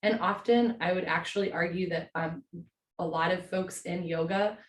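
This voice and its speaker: Hoarse woman guiding yoga slowly. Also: fairly low pitch, steady tone, echoey room. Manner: slow, gentle, soothing instruction. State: hoarse from a cold. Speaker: yoga teacher. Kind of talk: guided yin yoga class. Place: home.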